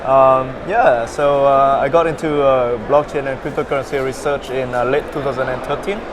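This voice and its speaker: A young man from Vietnam, a startup founder, answering interview questions in a halting way with many fillers and repeated words. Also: mid-range voice, steady tone, a crowd in the background.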